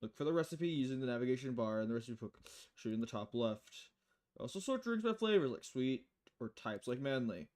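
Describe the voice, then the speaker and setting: A performer voicing a calm, dry male bartender who patiently explains things in matter-of-fact visual novel dialogue. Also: mid-range voice, a little fast, close to an everyday voice. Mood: patient, instructive.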